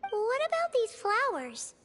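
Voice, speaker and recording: A woman voicing a tiny, earnest, excitable fairy companion in a fantasy video game, with a squeaky childlike voice and naive, expressive delivery. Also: unhurried, heavily acted. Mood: curious. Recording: clear background music under the voice.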